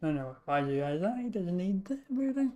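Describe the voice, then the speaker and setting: A woman's voice: on the low side, sing-song, fairly quick, warm tone. A woman chatting casually as she commentates on her own video game livestream. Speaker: game streamer.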